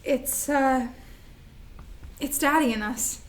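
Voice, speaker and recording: Naive, immature little sister in a stage drama, read by a woman, notably high voice, hesitant delivery. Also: takes it slowly, a little hiss or hum. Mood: wistful, confused. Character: Pearl, immature younger sister, grown and as child. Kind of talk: staged play reading. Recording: live staged reading.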